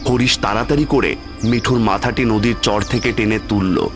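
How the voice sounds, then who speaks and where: A man's voice: lowish voice, steady tone, normal speed. A man telling a scripted horror story as the narrator of a cartoon tale. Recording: loud music with cricket sounds behind.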